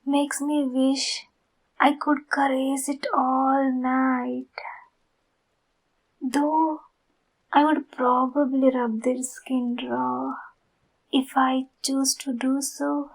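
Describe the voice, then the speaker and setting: A woman playing an obsessed, flirty yandere fan in an intimate ASMR roleplay, soft, cooing and sweetly menacing. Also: high voice, flat delivery, full character voice, poor audio. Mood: adoring, hushed. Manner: soft, cooing, slow and deliberate, often breathy whispering.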